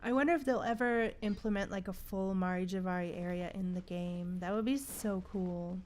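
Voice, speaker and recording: A woman's voice: medium pitch, moderate pace, darkish tone, slight background noise. A woman chatting casually as she livestreams a video game, with playful exclamations and slang. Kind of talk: casual livestream gameplay chat. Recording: game music behind the voice. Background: video game music.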